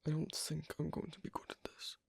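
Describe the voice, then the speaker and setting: Guy doing a gruff shy-flirty werewolf bf for asmr, raspy breathy whispering, kinda halting, super dry close sound. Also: dry recording, lightly acted. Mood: guarded teasing. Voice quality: breathy, husky, mostly whispered. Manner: hesitant, halting, teasing. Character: gruff, guarded, flirtatious asocial werewolf man on a first date. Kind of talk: ASMR roleplay, intimate one-sided dialogue.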